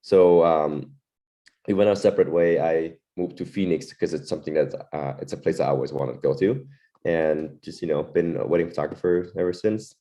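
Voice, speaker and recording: A man's voice: low-pitched, brisk, dark tone. A young man from Thailand, a wedding photographer, chatting casually as a guest, loose and laid-back with many fillers. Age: young adult. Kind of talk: casual interview chat.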